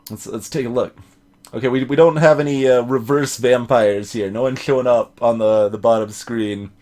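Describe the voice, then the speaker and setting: A man's voice: medium pitch, fairly even intonation, normal speed, a little mellow. A man doing let's play commentary, reading a game aloud and talking back to it and to his chat.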